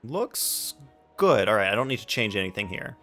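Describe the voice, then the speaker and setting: A man's voice: lowish voice, sing-song, normal speed, pushed and bright. A man hosting a casual gaming livestream, thinking aloud as he sets things up. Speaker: livestreamer, host. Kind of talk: casual gaming stream chat. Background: background music.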